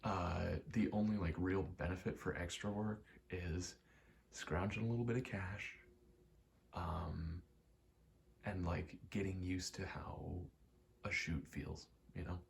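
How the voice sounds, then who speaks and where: A man's voice: sits low, fairly even intonation, slow pace, gravelly, a little hiss or hum. A young American man in his twenties rambles in a casual, candid ASMR chat, swearing now and then. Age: 20s. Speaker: ASMR rambler and aspiring actor.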